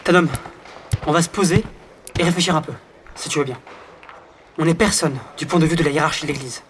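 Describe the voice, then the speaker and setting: A man's voice: fairly high pitch, fairly quick, a little hiss or hum, natural voice. A man playing a pragmatic, wry insect adventurer in an audio drama, dry and reasoned. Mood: measured, firm.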